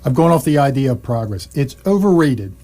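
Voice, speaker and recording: A man's voice: mid-range voice, very animated, a little fast, mellow. A man playing an indignant, stubborn and sarcastic homeowner in a comic radio play, with a dry delivery.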